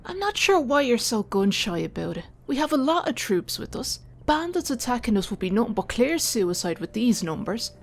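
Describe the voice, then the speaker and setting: A woman's voice: a little high, very animated, normal speed, few pauses. A woman playing a brash female fantasy sergeant. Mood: confident, dismissive. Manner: irritable, blunt, dismissive. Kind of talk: audiobook character dialogue.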